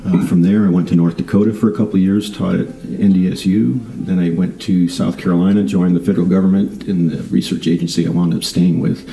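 A man's voice: sits low, little pitch movement, brisk, vocal fry, hardly any pauses, dark tone, poor audio. An older man, a retired scientist turned author, chatting in a rambling way with many fillers and restarts. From Southern California. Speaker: guest author. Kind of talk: casual interview chat.

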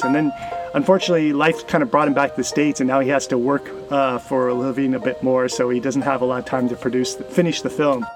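A man hosting a podcast in a casual, conversational style, with halting phrasing that often repeats words. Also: mid-range voice, little pitch movement, loud music behind the voice, low-quality recording. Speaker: podcast host. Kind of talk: casual interview and podcast narration.

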